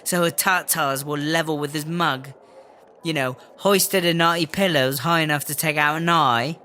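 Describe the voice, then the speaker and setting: Brash British female inmate, bright forceful voice, slangy rambling gossip, comedic audio drama, faint noise. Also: sits low, steady tone, moderate pace. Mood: animated gossip. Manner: slangy, rambling, punctuated with "yeah?". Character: brash, cheeky British woman inmate telling prison gossip.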